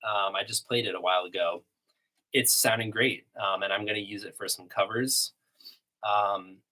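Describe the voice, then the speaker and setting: A low-voiced man with a bit of a cold runs a relaxed livestream and answers chat questions as they come in. He talks in a rambling way with plenty of ums. The sound comes through a desktop computer mic, with guitar playing in the background. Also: rough recording. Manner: relaxed, rambling, many "um"s and restarts. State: has a cold, a little sniffly. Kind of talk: casual livestream Q&A. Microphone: iMac desktop microphone. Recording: home desktop computer recording. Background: guitar playing between answers.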